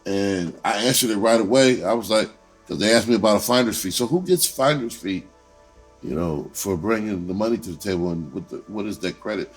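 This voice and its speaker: A man's voice: fairly low pitch, brisk, slightly rough, rough recording. Man telling a story over music. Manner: animated re-enacting of conversations, repeats himself. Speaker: entertainer telling a personal story. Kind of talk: casual storytelling, profane slang. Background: music under the voice.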